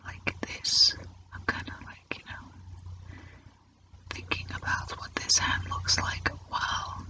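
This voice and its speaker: Male ASMR artist, fully whispered and unhurried, halting, thinking aloud while sketching. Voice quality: unvoiced breathy whisper. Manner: halting, musing to self while drawing. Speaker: artist narrating own drawing. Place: indoors at a drawing easel.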